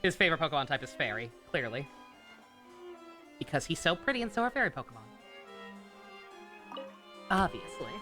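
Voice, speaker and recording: A woman game streamer with a bright, fairly low voice. She chats casually and wryly in a laid-back way, with faint music playing behind her. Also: sing-song, moderate pace, pauses often. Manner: laid-back, wry, chatty. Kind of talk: casual livestream chat and commentary.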